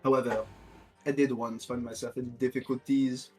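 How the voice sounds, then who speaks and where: Haughty gentleman witness for a courtroom game, played by a man: pompous, wordy, indignant, medium pitch, music underneath. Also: flat delivery, moderate pace, lightly acted. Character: haughty, indignant gentleman witness, a well-paid communications officer. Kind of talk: fan-dub courtroom game read-aloud.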